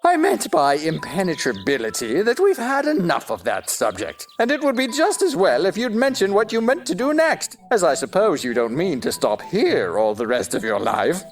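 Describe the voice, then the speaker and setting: A woman's voice: fairly low pitch, huge pitch swings, moderate pace, hardly any pauses, faint music behind. A woman playing a pompous, touchy, argumentative talking egg in a stage-play style audio drama, with haughty, exaggerated emphasis. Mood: smug. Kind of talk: theatrical audio drama acting.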